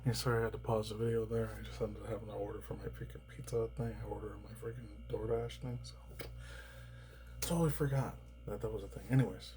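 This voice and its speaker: A man rambling through casual news commentary, swearing often and laughing between remarks, his voice slightly raspy and tired. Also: lowish voice, fairly even intonation, quick pace, dark tone, slight background noise. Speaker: commentary YouTuber.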